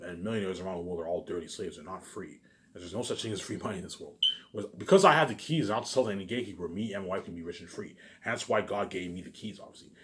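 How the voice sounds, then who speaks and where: A man giving a rambling, informal monologue, repeating himself emphatically, swearing and restarting his phrases. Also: fairly low pitch. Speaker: vlogger.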